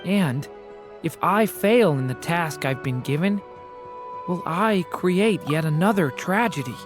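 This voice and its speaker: Gentle earnest young mage boy from a fantasy game, lively swinging pitch, loud music behind. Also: unhurried. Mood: troubled. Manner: earnest, hesitant, sincere. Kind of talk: scripted game dialogue. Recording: music clearly under dialogue.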